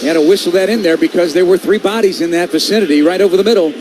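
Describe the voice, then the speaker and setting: A man's voice: high voice, racing. A man calling football play-by-play live on the radio in clipped, vivid descriptions. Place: stadium broadcast booth. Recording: live booth with clear background noise.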